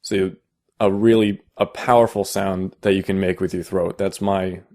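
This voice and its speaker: A young man with a deep voice, explaining casually in a how-to video, halting with many fillers and restarts. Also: steady tone, a little mellow. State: out of vocal shape. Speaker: vocal tutorial maker.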